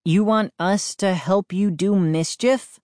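A woman's voice: medium pitch, takes it slowly, a little mellow, no room sound, clean studio sound, voice pushed up, lightly acted. A woman voicing an anxious, angry boy in a children's audiobook, demanding and urgent. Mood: exasperated. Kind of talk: audiobook character voice.

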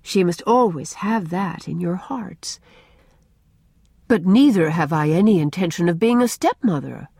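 A woman voicing a kind, gentle young woman who speaks softly and reassuringly. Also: on the low side, expressive, measured pace, gravelly, husky, no room sound, clean studio sound, full character voice. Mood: tender. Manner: soft, tender, reassuring. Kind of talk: audiobook character reading.

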